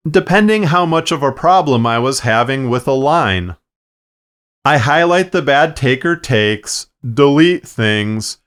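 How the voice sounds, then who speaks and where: A man narrating a tutorial in a measured, plain-spoken, instructional way, explaining his production process step by step. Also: fairly high pitch, a bit bright, clean tone. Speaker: fiction podcast producer and narrator. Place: acoustically treated recording space.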